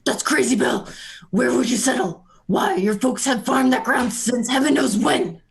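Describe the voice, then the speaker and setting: A woman plays a sensible farm wife in a radio play. Her voice sits a little high, and she speaks earnestly and plainly, chiding in a matter-of-fact way. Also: animated, moderate pace, vocal fry. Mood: disbelieving. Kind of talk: amateur radio play reading.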